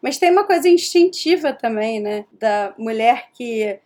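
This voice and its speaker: A woman from Rio de Janeiro with a Carioca accent, a guest chatting casually in an interview, animated and quick to laugh. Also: on the high side. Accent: Carioca Portuguese. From Rio de Janeiro, Brazil. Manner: animated storyteller, laughs often.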